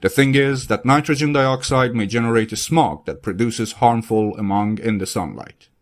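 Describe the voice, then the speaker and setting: A man with a medium-pitched voice reads a prepared passage aloud. The delivery is scripted, like a model answer in a reading lesson. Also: normal speed. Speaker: reader of practice passages.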